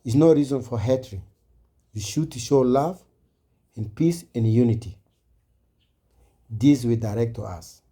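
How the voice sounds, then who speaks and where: Mellow African man making an earnest appeal. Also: mid-range voice, measured pace, long pauses, husky. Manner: earnest, exhorting, repetitive. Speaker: speaker addressing online viewers. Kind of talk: impassioned informal speech to camera.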